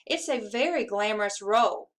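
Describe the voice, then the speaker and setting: A woman's voice: mid-range voice, animated, normal speed, lightly acted. A woman voicing an earnest young schoolboy in a storybook, persuasive and eager, with coaxing emphasis.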